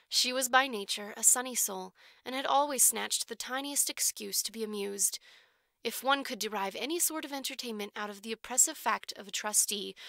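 A woman's voice: on the high side, vocal fry, bright, forceful tone, dry recording. A woman reading literary fiction as an audiobook narrator, in scripted storytelling style.

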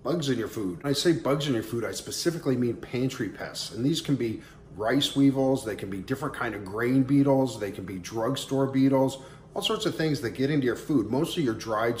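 A man's voice: mid-range voice, reverberant, a little hiss or hum. A man in his forties, a pest control business owner, giving plainspoken, friendly how-to tips to camera in a home kitchen. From Florida. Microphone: camera or phone at arm's length.

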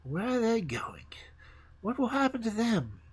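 A woman's voice: a little high, sing-song, measured pace, slightly rough, voice pushed up, full character voice. A woman voicing a restless young fir tree in a fairy tale, asking questions in a yearning, eager way. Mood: wondering.

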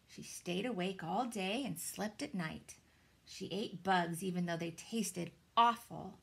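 A woman reads a children's storybook like a teacher to a class. Her delivery is dramatic and deliberate, with very lively intonation and a gravelly creak in the voice. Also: medium pitch. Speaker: teacher reading aloud. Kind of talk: expressive children's storybook narration. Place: small indoor room with shelves.

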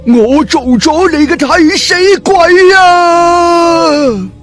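A man's voice: very high-pitched, lively intonation, drawn-out words, a bit bright, soft background music, pitched above the performer's own voice, full character voice. Shrieking, wailing male ghost. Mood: anguished, accusing. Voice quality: eerie wailing cry. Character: eerie, vengeful male ghost of a mangled friend.

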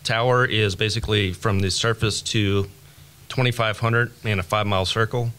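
Bright, forceful low-pitched man. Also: steady tone, normal speed, clean tone. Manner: matter-of-fact. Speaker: air traffic controller, podcast guest. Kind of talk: informal technical explanation. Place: small indoor podcast studio. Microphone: close desk microphone.

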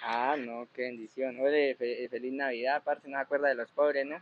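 A man's voice: medium pitch, flat delivery, fairly quick, phone-quality sound, noisy background. A young man with a Colombian accent, playfully pleading on prank phone calls to friends. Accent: Colombian Spanish. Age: young adult. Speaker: content creator. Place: small phone repair shop, then shoe store. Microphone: phone held up to camera, speakerphone.

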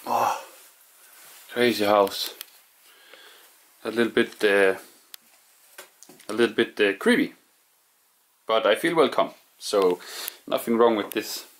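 A man narrating quietly to camera as he explores an abandoned house, musing in hushed. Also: lowish voice, slow, long pauses. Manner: hushed, musing, non-native English phrasing. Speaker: urban explorer vlogger. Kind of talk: casual narration while exploring.

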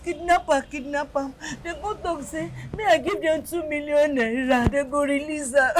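A woman's voice: sits high, animated, fairly quick, background noise. A Nigerian woman playing a frantic, pleading mother in a comedy drama, tearful and repeating her words as she begs. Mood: tearful, distressed. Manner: wailing, repetitive begging. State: crying.

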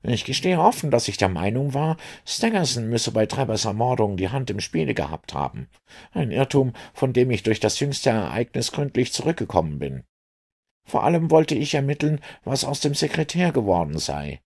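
A man putting on a gruff, worked-up police inspector for an audiobook, his husky voice swinging lively up and down as he reports. The delivery is animated and rushed with alarm. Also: medium pitch, pitched above the performer's own voice. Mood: agitated. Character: gruff, agitated middle-aged male police inspector. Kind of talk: audiobook reading.

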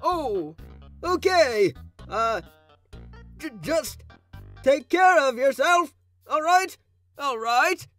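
A man's voice: very high voice, very animated, drawn-out words, long pauses, fairly bright tone, full character voice. A man voicing an anxious, kindly and naive skeleton brother in a comic dub, earnest and hesitant. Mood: worried. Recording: music behind.

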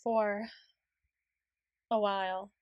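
A woman playing a flustered, prim, studious young tsundere in an ASMR roleplay, speaking in halting, stammering, formal sentences. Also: mid-range voice, flat delivery, darkish tone, no room sound, dull audio. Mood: hesitant, tender. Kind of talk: ASMR roleplay, acted monologue.

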